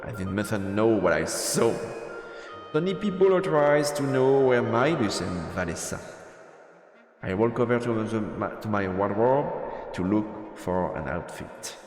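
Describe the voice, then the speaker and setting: A man streaming a let's-play, reading game text aloud in a halting way and commenting as he goes. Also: on the low side, lively intonation, a little mellow. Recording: roomy long reverb, game music behind. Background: video game music.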